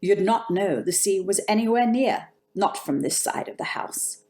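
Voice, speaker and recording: A woman's voice: mid-range voice, lively intonation, normal speed, dark tone, natural voice. A woman playing a coldly deferential housekeeper of a grand manor, clipped and restrained, in an old-time radio drama. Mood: cool, formal.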